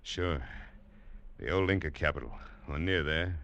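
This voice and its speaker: A man playing a greedy, gruff, scheming treasure-hunting rogue in a 1940s radio drama, pushy and insistent. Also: very deep voice, moderate pace, a bit bright, muffled recording. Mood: grudging.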